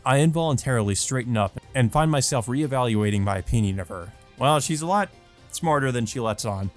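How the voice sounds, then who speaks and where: Man, medium pitch, visual novel narration, casual commentary, amused, sweary, background music. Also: animated, fairly quick. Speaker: let's play host.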